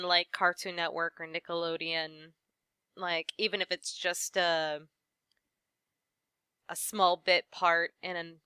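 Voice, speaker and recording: A woman's voice: on the low side, sharp tone, smooth, dry recording, clean studio sound. A young woman, a voice actress, chatting as an interview guest, laughing often and trailing off mid-thought. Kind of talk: casual interview chat.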